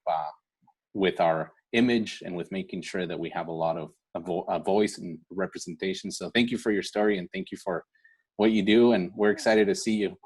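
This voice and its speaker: A man hosting an online awards event, speaking informally and from the heart in an earnest, encouraging way. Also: low voice. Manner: earnest, encouraging, often seeking agreement with "right?". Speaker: event host for a scholarship foundation.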